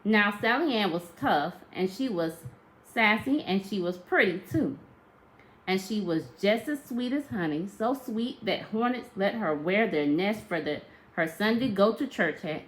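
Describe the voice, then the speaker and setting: Female storyteller for a children's read-aloud, medium pitch, expressive and emphatic teacher-style narration. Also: measured pace, low-quality recording.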